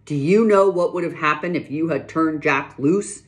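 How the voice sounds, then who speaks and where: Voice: medium pitch, moderate pace, voice pushed up, natural voice. A reader voicing a stern pioneer father. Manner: firm, emphatic, scolding the girls. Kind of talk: reading a children's novel aloud.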